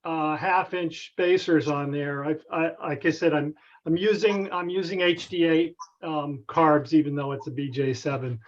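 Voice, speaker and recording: A man with a slightly high voice talks over a dull, lo-fi conference connection. He explains a technical matter casually, haltingly and tentatively, circling back as he goes. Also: fairly even intonation. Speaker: club member asking a technical question. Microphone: conference call connection. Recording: dull, band-limited conference call audio.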